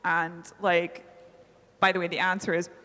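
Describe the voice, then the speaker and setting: A woman's voice: on the low side, flat delivery, unhurried, a crowd in the background. A woman in her thirties chatting casually on a festival panel, self-mocking and full of fillers. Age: 30s. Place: festival talk hall. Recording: long hall reverb.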